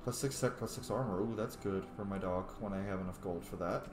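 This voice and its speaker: A man's voice: deep voice, fairly quick. A man doing casual gameplay commentary as host, informal and reacting aloud as he plays. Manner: informal, reacts aloud, mutters on-screen text. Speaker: gaming video host, player. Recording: roomy echo, faint background noise.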